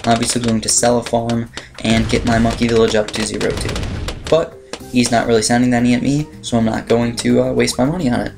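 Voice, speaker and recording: Man, slightly raspy, mid-pitched, informal gaming host, rambling commentary, clear background music. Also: a little mellow. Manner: rambling, informal strategy explaining. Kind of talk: casual game commentary. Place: voice-over on screen-recorded gameplay.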